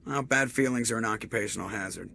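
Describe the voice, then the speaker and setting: A cocky wisecracking ship pilot, gravelly edge. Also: male voice, fairly low pitch, fast, bright, forceful tone, a little hiss or hum. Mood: snarky. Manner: sarcastic, wisecracking. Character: cocky sardonic male ship pilot, young adult. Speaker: starship pilot. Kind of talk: video game voice acting.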